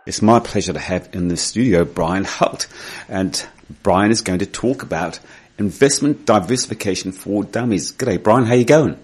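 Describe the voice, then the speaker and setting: A man's voice: lowish voice, normal speed, a little hiss or hum. A man who hosts a studio podcast, reading the disclaimer and greeting his guest in a friendly way. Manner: friendly, brief interjections. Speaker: podcast host. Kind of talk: podcast interview and read disclaimer.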